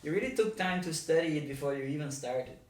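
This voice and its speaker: Joking Brazilian-born co-host, echoey room. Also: male voice, on the high side. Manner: joking, teasing, quick laughs. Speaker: podcast co-host. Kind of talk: casual podcast chat. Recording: roomy, distant mic.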